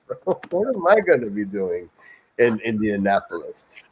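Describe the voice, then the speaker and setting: A man's voice: on the low side, moderate pace, slightly rough, poor audio. A man in his early thirties co-hosting a casual sports chat, laughing often and throwing "bro" into nearly every line. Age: 30s. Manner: animated, laughs often, says bro a lot. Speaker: podcast co-host.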